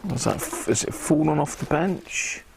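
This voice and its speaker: A man with an English accent and a gravelly voice, hosting a hobby electronics live stream in a chatty, rambling way, thinking aloud as he works. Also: on the high side, animated, normal speed, slight background noise. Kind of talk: casual live-stream chat while working at a bench.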